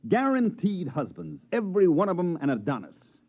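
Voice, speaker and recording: A slick Mars swindler. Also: male voice, sits high, very animated, normal speed, creaky, full character voice. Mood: brash. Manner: slick, wheeling-dealing salesman patter. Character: fast-talking male swindler promoter on Mars. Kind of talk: 1950s radio drama acting and narration. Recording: dull vintage radio recording.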